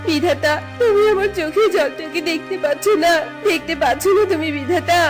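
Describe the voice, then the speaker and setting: A woman's voice: extremely high pitch, fairly quick, over music. A woman voicing a distressed village mother in a cartoon fairy tale, plaintive, dramatic and lamenting. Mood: grieving.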